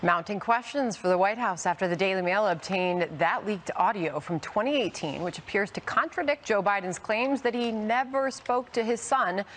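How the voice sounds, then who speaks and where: A woman's voice: medium pitch, animated, moderate pace, few pauses, fairly bright tone, slight background noise. An American woman hosting a television news show, reading a scripted introduction and putting questions.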